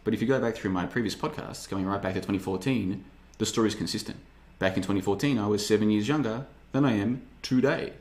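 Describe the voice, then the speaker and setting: A man's voice: fairly low pitch, fast talker, hardly any pauses, darkish tone. A man in his thirties hosting a podcast, talking in a rambling, sarcastic way as he reads the live chat. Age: 30s. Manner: rambling, sarcastic, slips into mocking voices. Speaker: podcast host. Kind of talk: casual podcast monologue reading live chat.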